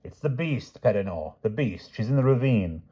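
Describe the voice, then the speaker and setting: Uneasy, old-fashioned storybook knight, male reader: exclaiming, exasperated, lively and raised in pitch. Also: slow pace, husky, dull audio, heavily acted. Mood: worried. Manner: exclaiming, fretful.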